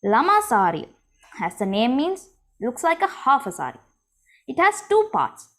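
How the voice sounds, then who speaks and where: A woman giving a prepared class presentation, reading clearly and steadily. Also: sits high, big pitch swings, fairly bright tone. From Sri Lanka. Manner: reads from a script, clear and measured. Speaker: student presenter.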